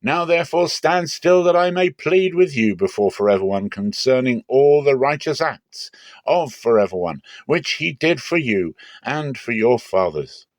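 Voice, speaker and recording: A man's voice: mid-range voice, lively intonation, unhurried, no room sound, natural voice. Stern prophet, played by a male reader, declamatory and impassioned, exhorting a people, scripture drama, dry sound. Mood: solemn.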